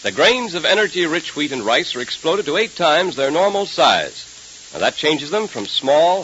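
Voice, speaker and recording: Animated, emphatic American radio announcer man. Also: a little high. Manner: emphatic, theatrical. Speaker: announcer and narrator. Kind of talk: 1930s radio announcing, scripted narration. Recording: hissy, muffled 1930s radio transcription.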